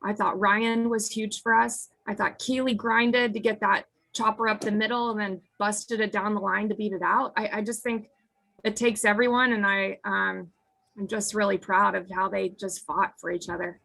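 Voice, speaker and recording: A woman in her thirties, an American softball head coach, answering postgame press questions in an earnest way with a husky voice that is hoarse from screaming. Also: medium pitch, little pitch movement, moderate pace, poor audio. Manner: earnest, restarts sentences often.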